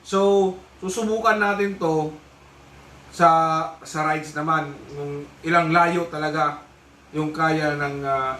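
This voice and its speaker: A man's voice: fairly high pitch, bright, forceful tone. A man with a Filipino accent giving a casual, explanatory product review, often repeating himself as he goes. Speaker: vlogger reviewing a motorcycle intercom. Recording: echoey room, distant mic, faint noise.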